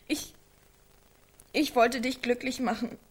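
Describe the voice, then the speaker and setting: A high-pitched teenage girl, troubled and needy. She sounds naive yet intense, in the manner of a radio play. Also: steady tone, slow, husky. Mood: desperate, accusing. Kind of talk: German radio drama acting.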